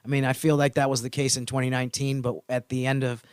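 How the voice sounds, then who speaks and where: A man's voice: medium pitch, little pitch movement, fast talker, clear, resonant tone, no echo, clean studio sound. A man co-hosting a sports podcast, talking in an earnest, easygoing way. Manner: earnest, easygoing, says "you know" a lot. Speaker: team reporter, podcast co-host. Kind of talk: casual sports podcast chat.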